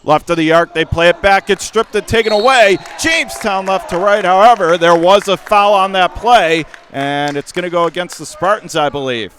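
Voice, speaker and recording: A man calling high school basketball play-by-play for radio, rapid and rising to shouts on big plays. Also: a little hiss or hum. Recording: radio broadcast call, ball bounces behind.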